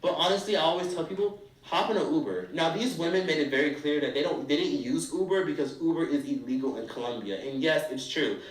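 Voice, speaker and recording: Blunt animated man, roomy and distant. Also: on the high side, fast, hardly any pauses. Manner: blunt, animated, acts out accents of strangers. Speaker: travel vlogger. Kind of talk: casual travel advice monologue.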